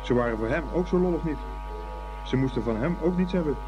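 A man's voice: a little high, expressive, fast talker, highs cut off. A man narrating a scripted story as the narrator of a radio play. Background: music.

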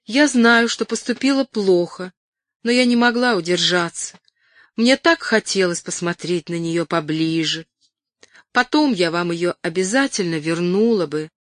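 A woman's voice: on the low side, dry recording, close to an everyday voice. A meek young actress from a storybook, played by a woman narrator, speaks in a small trembling voice. She is wistful and apologetic, with a streak of hurt pride, and the sound is close and dry. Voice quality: trembling, frightened little voice. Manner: quiet, meek, halting.

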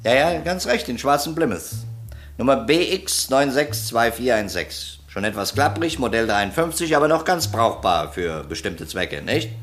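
A man's voice: medium pitch, expressive, moderate pace, fairly bright tone, faint music behind, lightly acted. A man playing a shrewd, methodical police detective in a German crime radio play, speaking precisely and insistently with an accusatory edge. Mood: coolly insistent. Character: shrewd, methodical male police detective confronting a murder suspect.

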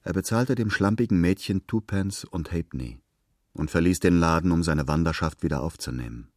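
A man's voice: low-pitched, fairly quick, dry recording, studio quality. A man reading literary fiction aloud as a scripted narration.

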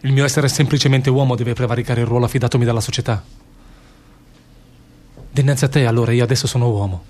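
Very husky, anguished man of faith acted. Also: medium pitch, steady tone, fast talker, darkish tone, close to an everyday voice. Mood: anguished, praying. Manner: solemn, earnest, prayer-like. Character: anguished, devout male public prosecutor torn by doubt. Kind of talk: theatrical radio drama acting.

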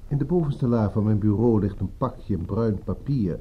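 An actor playing a smooth, commanding boss in a radio play, formal and insinuating, with veiled menace. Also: male voice, on the low side, moderate pace, lightly acted. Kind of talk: radio drama dialogue. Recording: muffled, noisy radio play recording.

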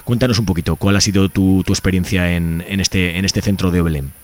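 A Spanish man with a low voice hosts a radio programme. He speaks informally and conversationally, often checking in with short tag questions as he addresses listeners and his guest. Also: steady tone, brisk, darkish tone. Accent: Spain. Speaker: radio host.

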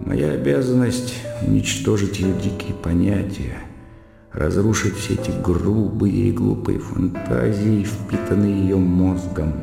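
An actor playing an earnest, self-assured educated gentleman with a reflective, precise way of speaking in a classic radio drama. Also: fairly low pitch, vocal fry. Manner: reflective, precise, bookish phrasing. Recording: reverberant hall, music behind.

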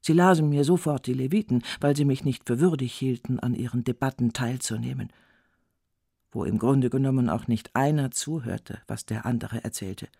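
Gravelly, scornful wronged wife. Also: male voice, mid-range voice, normal speed, warm tone, no room sound, clean studio sound. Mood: sardonic, bitter. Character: scornful, wronged wife recounting her humiliations. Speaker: actor reading a literary text. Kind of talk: dramatic literary reading, first-person narration.

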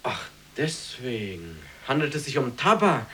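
A polite, self-effacing male civil servant in a radio drama, bewildered by his hosts. His mid-pitched voice swings widely as he courteously, hesitantly objects. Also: sing-song, unhurried, pushed and bright, slight background noise. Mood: bewildered, modest. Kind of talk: German radio play acting.

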